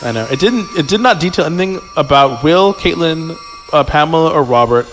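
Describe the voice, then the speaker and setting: A man's voice: on the high side, lively intonation, fairly quick. A man reading a horror story aloud as a podcast host, stumbling over words and dropping in jokey, casual asides. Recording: echoey hall sound with music.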